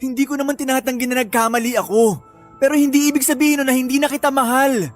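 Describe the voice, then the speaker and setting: A man's voice: very high-pitched, fairly even intonation, quick pace. A guilty young boyfriend with a Filipino accent. He pleads and explains in an earnest, worn-out way, in radio drama style over faint background music. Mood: apologetic. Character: guilty, apologetic young boyfriend, weary and self-justifying.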